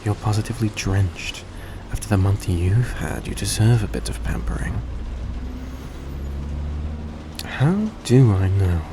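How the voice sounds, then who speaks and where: A man playing a suave, tender centuries-old vampire in a roleplay audio drama, speaking in a soft, coaxing, genteel way to the listener. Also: lowish voice, moderate pace, lightly acted. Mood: caring, playful. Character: suave, gracious centuries-old male vampire, young-looking, tender and grateful. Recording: steady background noise, vehicle-like ambience.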